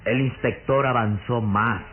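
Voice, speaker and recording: An actor playing a grave, theatrical narrator of a suspenseful gothic horror radio drama. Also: male voice, mid-range voice, unhurried, close to an everyday voice. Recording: muffled old radio recording with music.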